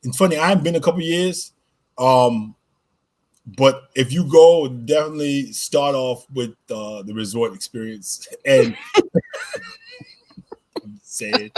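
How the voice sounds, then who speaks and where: A man's voice: mid-range voice, measured pace, long pauses, low-quality recording. A man born in Jamaica and raised in the US, talking loosely in a casual interview with lots of "you know" and "right?". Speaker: podcast co-host being interviewed.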